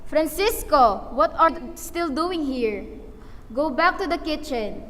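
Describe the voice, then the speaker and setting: A breezy Filipino mother acted by a woman with a fairly high voice and Filipino accent. She protests airily in amateur radio play style, in long echoing reverb. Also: animated, a little slow, fairly bright tone. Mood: airy, protesting. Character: breezy, modern-minded Filipino wife and mother. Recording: reverberant hall-like echo.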